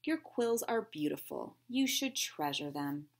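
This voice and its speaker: A woman's voice: sits low, big pitch swings, a little slow, creaky, exaggerated voice. A woman voicing a kindly old male porcupine uncle in a storybook, reassuring and emphatic.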